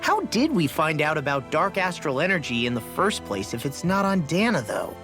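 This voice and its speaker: A man's voice: fairly high pitch, very animated, normal speed, a bit bright. An actor playing a brash, hot-headed young male fighter in a video game, with an American accent and cocky, slangy delivery. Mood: curious. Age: young adult. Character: brash, hot-headed young male brawler, son following father's footsteps. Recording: studio voice over game music.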